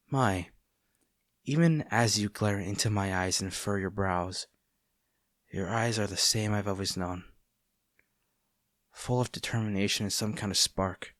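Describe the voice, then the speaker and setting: A man playing a conflicted heroic captor in a dramatic audio roleplay, earnest and halting, tender toward an old friend turned enemy. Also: on the low side, a bit bright, no echo, studio quality. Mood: wistful. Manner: earnest, halting, formal wording with dramatic pauses.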